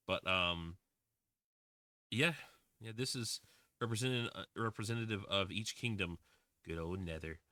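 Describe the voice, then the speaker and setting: A man's voice: lowish voice, moderate pace, pauses often, bright, forceful tone, dry recording, clean studio sound. A man in his twenties, streaming a game and chatting casually, rambling with lots of fillers and restarts. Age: 20s. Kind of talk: casual livestream chat while playing a game.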